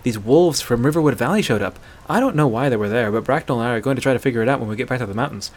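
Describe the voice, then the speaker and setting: A man's voice: medium pitch, expressive, rapid-fire, lightly acted. A man voicing a stubborn, sarcastic young hunter in a dramatic fantasy story, blunt and incredulous in his challenges. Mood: breathless, excited. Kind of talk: dramatic fantasy audiobook character voice.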